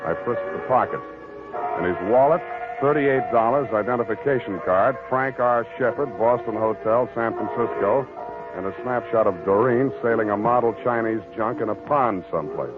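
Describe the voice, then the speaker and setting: Actor as a tinny wisecracking old-radio private eye. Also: male voice, mid-range voice, normal speed, lightly acted. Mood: terse narration. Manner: clipped, wry, matter-of-fact. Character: wisecracking, world-weary hard-boiled private detective. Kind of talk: 1940s radio detective acting with first-person narration. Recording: tinny vintage radio broadcast, music behind.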